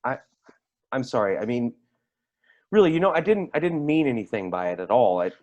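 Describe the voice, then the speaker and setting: A man's voice: medium pitch, sing-song, fairly quick, a little mellow, natural voice. An actor in a staged play reading, playing a tactless, defensive man who stammers and repeats himself. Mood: apologetic. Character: tactless, defensive man, rambling and awkward, named Timothy.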